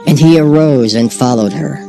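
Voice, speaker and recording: A man's voice: medium pitch, normal speed, gravelly, dark tone. Solemn male scripture narrator over music. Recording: music bed behind voice.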